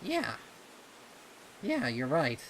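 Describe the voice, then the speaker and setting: A man's voice: a little high, wildly swooping pitch, extremely slow, fairly bright tone, slight background noise, voice pushed up, full character voice, rough recording. A man voicing a young cartoon pony colt, a chummy but uneasy adventurer with casual, boyish talk, in a story reading. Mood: hopeful.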